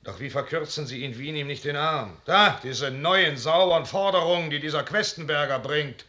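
A proud military commander in a classical radio play. His voice is fairly high and bright, with forceful, biting diction. He declaims in verse, blunt and sardonic. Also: normal speed, muffled recording. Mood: indignant. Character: blunt, proud field marshal, sardonic toward courtiers. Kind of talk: 1950s German radio drama verse acting.

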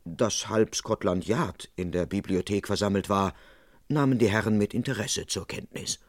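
A man plays a respectful police constable recounting his adventure in an old radio play. His voice is fairly low and dryly recorded. The manner is plain and measured. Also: no room sound, clean studio sound, lightly acted. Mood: composed narrating. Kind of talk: first-person radio-play narration.

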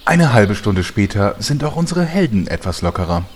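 A man's voice: lowish voice, very animated, moderate pace, a little hiss or hum. A man as the narrator, reading scripted storytelling for a parody fantasy audio drama. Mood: measured storytelling. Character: storytelling male narrator of heroes' adventures.

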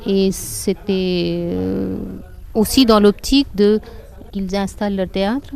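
A woman's voice: mid-range voice, steady tone, slow, creaky, darkish tone. A woman from northern India whose first language is Hindi, explaining things in a conversational way with frequent hesitations and restarts, recorded outdoors in a public garden. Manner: hesitant, many fillers and restarts. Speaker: guide and interpreter.

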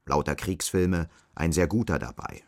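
An actor playing a sober storyteller, narrating a bleak dystopian radio drama in a measured, matter-of-fact manner. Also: male voice, low voice, dry recording, clean studio sound, lightly acted.

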